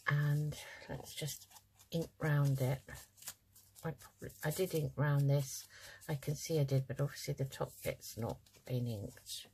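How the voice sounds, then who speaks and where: Low-pitched, slightly croaky woman crafter. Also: fairly even intonation, measured pace, dark tone, a little hiss or hum, rough recording. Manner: chatty, thinking aloud. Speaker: craft channel host. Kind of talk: casual craft tutorial, talking while working. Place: indoors at a craft table.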